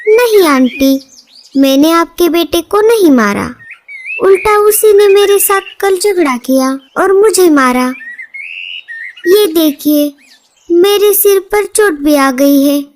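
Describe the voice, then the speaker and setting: A woman's voice: very high voice, lively intonation, takes it slowly, darkish tone, a little hiss or hum, exaggerated voice. A woman voicing a small bird child in a cartoon, with a plaintive, childlike way of talking. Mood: indignant. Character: small whiny bird child complaining to mother. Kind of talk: Hindi children's cartoon dubbing.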